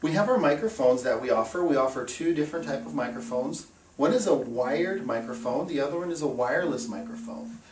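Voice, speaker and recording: A man's voice: mid-range voice, lively intonation, fairly quick. Methodical man in an echoey room. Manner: plain, methodical step-by-step explaining. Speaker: instructor for an equipment rental company. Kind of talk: hands-on equipment tutorial. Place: small indoor meeting room. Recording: roomy echo, distant mic, background hiss.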